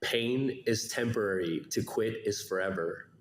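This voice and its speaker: A man's voice: on the low side, unhurried, roomy sound, a little hiss or hum, low-quality recording. A man born in Afghanistan, a company founder answering interview questions in an easygoing way, fond of catchy sayings. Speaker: startup CEO guest.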